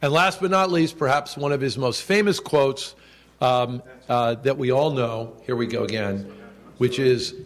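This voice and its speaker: A man in his sixties giving a formal commemorative speech, measured, with a loud projecting voice and quotes read with emphasis. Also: mid-range voice. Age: 60s. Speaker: school official giving a speech. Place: indoor event hall.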